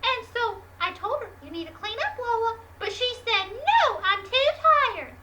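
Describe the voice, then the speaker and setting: Lady doing a squeaky little boy puppet, whiny and indignant, bouncy. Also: lively intonation, moderate pace, pushed and bright, reverberant, recorded from a distance, slight background noise, heavily acted, low-quality recording. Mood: explaining. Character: earnest little boy, rule-following, easily frustrated.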